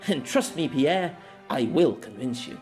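A man voicing a confident, playful young noblewoman swordfighter in an audio story, soft-spoken but commanding, with grand archaic phrasing. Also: mid-range voice, animated, unhurried, faint music behind. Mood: bright, determined.

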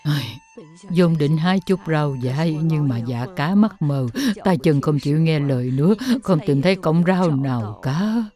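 A humble old woman worn down by grief in an animated folk tale. Her voice is rather low and mellow, and she laments plaintively. Soft music plays faintly underneath. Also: measured pace. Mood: weary, sad. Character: frail, grieving old beggar woman, humble and sorrowful. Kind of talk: animated drama dub acting.

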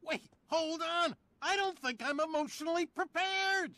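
An actor playing an excitable, anxious toy dinosaur in a video game, gushing and nervous. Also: male voice, very high-pitched, a little slow, no echo, exaggerated voice.

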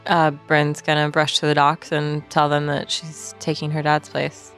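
A woman's voice: low voice, monotone, a little slow. A woman playing a tabletop game, speaking casually as she describes her character's choices. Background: soft background music.

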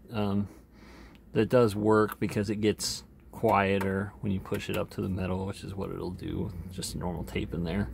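Slow-talking man giving a casual product demo. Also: fairly low pitch, creaky, darkish tone, a little hiss or hum. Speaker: online seller demonstrating used electronics. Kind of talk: casual unscripted product demonstration.

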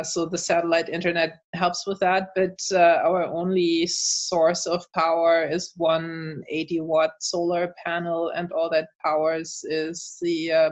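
A woman's voice: sits low, highs cut off. A woman from Germany with a German accent, chatting as a guest in an interview, thoughtful with frequent fillers and laughs. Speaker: author and translator guest. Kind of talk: casual interview chat.

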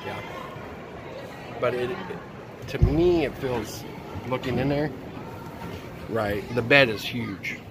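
A man's voice: medium pitch, takes it slowly, pauses often, a crowd in the background. Man vlogger, easygoing walkthrough commentary, phone mic, noisy exhibition hall. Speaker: vlogger touring trailers. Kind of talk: casual walkthrough chat. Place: indoor convention hall RV show.

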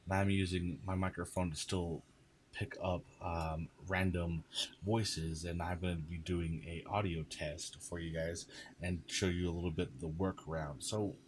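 Very low-voiced man, casual tutorial. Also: steady tone, unhurried, slight background noise. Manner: informal, addresses viewers as guys. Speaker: video creator, tutorial host. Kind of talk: casual software tutorial chat. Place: indoors at a computer.

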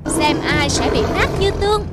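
A woman's voice: sits high, animated, moderate pace, over loud music. A woman voicing a tiny, indignant cartoon mouse with a scolding, taunting manner. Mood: mocking. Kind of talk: cartoon voice acting.

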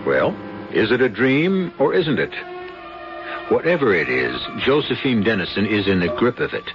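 A man hosting and narrating a 1970s radio mystery drama with a measured, dramatic delivery. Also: mid-range voice, sing-song. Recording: muffled old radio broadcast with music.

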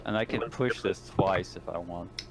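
A man's voice: low voice, moderate pace, noisy background. A man chatting casually as a player in an online tabletop game, blunt, assertive and sweary. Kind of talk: casual gaming chat, sweary.

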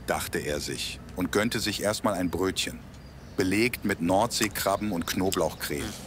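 A man's voice: deep voice, measured pace, noisy background. A man narrating a humorous scripted audio drama in a storybook style. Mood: measured storytelling.

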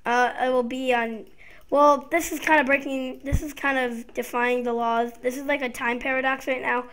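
High-pitched young gamer hosting a video. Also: flat delivery, moderate pace, fairly bright tone. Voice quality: slightly raspy at times. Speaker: gaming video host. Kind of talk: casual Minecraft gameplay commentary. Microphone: gaming headset.

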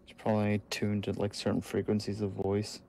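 A man playing a game with a friend, explaining things in a relaxed, chatty way. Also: on the low side, a little fast, gravelly, a little hiss or hum. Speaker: co-player. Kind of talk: casual gaming chat.

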